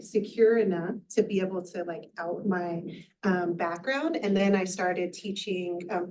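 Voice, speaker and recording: A woman's voice: medium pitch, a little mellow, distant mic, a little hiss or hum, poor audio. A woman in her thirties, a researcher on a panel, speaking candidly with casual fillers. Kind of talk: panel discussion.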